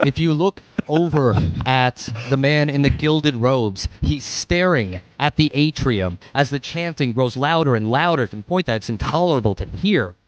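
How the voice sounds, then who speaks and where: A man's voice: mid-range voice, lively intonation, moderate pace, few pauses, muffled recording, a little hiss or hum. A man narrating a tabletop game as game master, improvising with informal, offhand asides.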